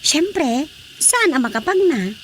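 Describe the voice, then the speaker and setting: A woman playing an expressive, gossipy wife in a radio drama comedy, with an Ilocano accent and an exclaiming delivery. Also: high-pitched, slow pace, slight background noise, exaggerated voice. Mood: animated gossip. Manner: animated, exclaiming.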